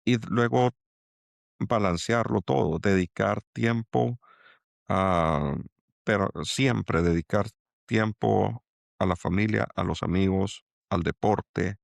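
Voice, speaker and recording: A middle-aged man from El Salvador with a Salvadoran accent and a low, gravelly voice. He talks in a halting, reflective way, with lots of fillers and restarts. The sound is dry and close. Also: no echo. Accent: Salvadoran Spanish. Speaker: angel investor guest. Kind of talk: reflective interview talk.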